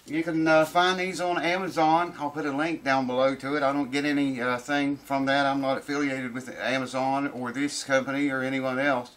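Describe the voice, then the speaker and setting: A middle-aged man giving a casual hands-on review to camera, informal and unhurried, thinking aloud as he goes. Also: medium pitch, little pitch movement, fairly bright tone, slight background noise. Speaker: product reviewer. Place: small cluttered indoor workshop room.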